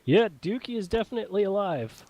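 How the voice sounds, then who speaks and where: A man's voice: sits high, lively intonation, a little fast, darkish tone, no echo. Casual man running a tabletop game, dry close sound. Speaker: game master.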